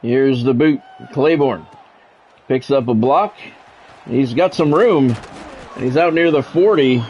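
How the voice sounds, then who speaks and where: A man doing measured, broadcast-style football play-by-play over a video game, in clipped phrases with casual gamer asides. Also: medium pitch, darkish tone, highs cut off, a little hiss or hum.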